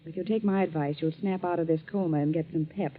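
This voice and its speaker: An actress playing a confident, blunt horsewoman in a 1940s radio drama, brisk in manner. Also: sits low, fairly even intonation, a little hiss or hum, natural voice. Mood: brisk, scornful. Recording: dull old 1940s radio recording.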